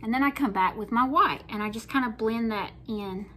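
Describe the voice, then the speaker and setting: A middle-aged woman with a Southern US accent talks viewers through her painting in a folksy, chatty way. Her voice sits a little high for a woman. A faint hiss of room noise hangs under the overhead phone recording. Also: brisk, bright, forceful tone. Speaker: craft painting host. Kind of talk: casual painting tutorial. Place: home kitchen table, indoors.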